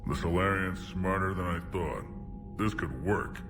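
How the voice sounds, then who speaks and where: An actor playing a gruff, suspicious alien warrior chief in a video game, with a gravelly voice. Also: male voice, deep voice, measured pace, distant mic, music in the background. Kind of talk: video game cutscene acting.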